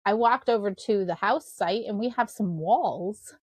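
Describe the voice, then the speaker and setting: A woman's voice: medium pitch, measured pace, slightly rough, no room sound, muffled recording. Upbeat woman podcast co-host, dry sound. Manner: thinks aloud with fillers, laughs often. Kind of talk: casual podcast chat.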